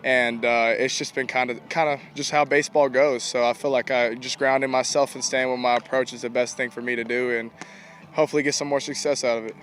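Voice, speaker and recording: A young man, a college ballplayer giving casual, informal interview answers with halting repetitions. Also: mid-range voice, little pitch movement, a bit bright. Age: young adult. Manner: informal, halting, repeats himself. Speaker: college baseball player being interviewed. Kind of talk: casual post-game style interview answers. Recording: clear outdoor ambient noise.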